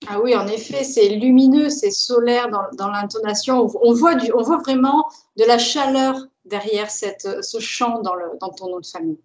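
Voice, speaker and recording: A woman host asking soft, encouraging interview questions and praising her guest's answers effusively. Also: fairly high pitch, moderate pace, hardly any pauses. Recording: dull lo-fi sound.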